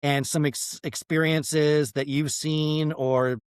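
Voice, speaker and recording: A man's voice: fairly high pitch, level tone, slow pace, clear, resonant tone, dry recording, clean studio sound. A man hosting a podcast, asking loosely phrased questions in a conversational interviewing style.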